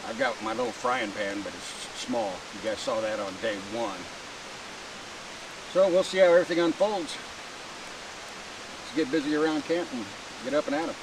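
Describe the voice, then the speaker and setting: A relaxed American man rambling through his camping day with dry humor. He sits back from the camera mic, so he sounds a little distant. Steady rain hisses clearly behind him. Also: on the high side, moderate pace, long pauses. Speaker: moto camping vlogger. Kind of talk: casual vlog narration to camera. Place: tent and campsite outdoors by a creek in the rain.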